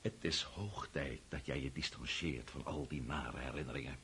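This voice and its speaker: An actor playing a suave, pushy older prince in a radio drama, husky-voiced, glib and punctuating his talk with little questioning hums. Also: very deep voice, moderate pace, a bit bright, a little hiss or hum. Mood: insistent, glib. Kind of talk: radio drama acting in Dutch.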